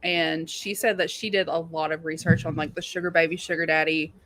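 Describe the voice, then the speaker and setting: A woman's voice: low voice, flat delivery, a little fast, a bit bright, a little hiss or hum, low-quality recording. A woman co-hosting a live readalong show, chatting about books in an informal, slangy, emphatic way. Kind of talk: casual book chat.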